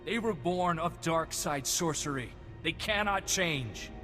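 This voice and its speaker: A man's voice: fairly high pitch, animated, a little slow, sharp tone. An actor playing an anguished, vengeful male survivor in a video game cutscene. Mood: vengeful, agitated. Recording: hall reverb, music behind.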